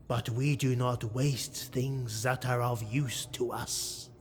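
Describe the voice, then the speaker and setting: A man voicing a proud, hate-filled dark-elf nobleman, declaiming defiantly and theatrically in a fantasy audiobook. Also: mid-range voice, fairly even intonation, slow pace, faint music behind, natural voice. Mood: fervent flattery.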